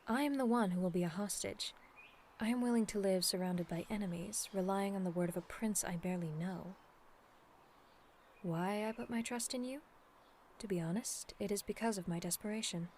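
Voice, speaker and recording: A woman playing a dignified, earnest demon princess in a scripted ASMR audio roleplay, speaking in a formal, measured, persuasive way. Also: medium pitch, darkish tone, a little hiss or hum, natural voice. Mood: insistent, then sincere, pleading. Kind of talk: scripted ASMR roleplay acting, addressed to the listener.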